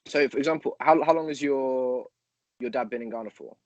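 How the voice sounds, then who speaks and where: A man's voice: medium pitch, a little fast, darkish tone, dry recording, muffled recording. A man co-hosting a podcast, thinking aloud in a rambling way, full of fillers and hypothetical examples. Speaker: podcast co-host. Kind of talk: casual conversational interview.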